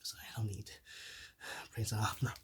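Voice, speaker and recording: A man playing a shy, soft-natured zombie in an ASMR roleplay, speaking softly with stammers and apologetic hesitation. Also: moderate pace, lightly acted. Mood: hesitant, gentle. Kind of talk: whispered ASMR roleplay addressed to the listener.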